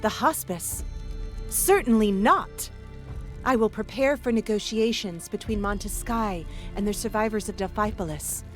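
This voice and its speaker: A woman's voice: medium pitch, a little slow, faint music behind, lightly acted. A woman playing a dignified, resolute ally in a fantasy video game, formal and firm. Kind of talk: video game cutscene acting.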